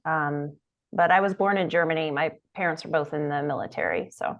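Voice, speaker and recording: A woman's voice: low voice, a little fast, vocal fry. A woman actor, guest on an interview show, chatting casually and laughing easily over a video call. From Delaware, USA.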